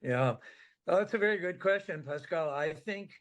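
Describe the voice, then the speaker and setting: A man's voice: a little high, expressive, unhurried. A man speaking as an interview guest, giving conversational political analysis.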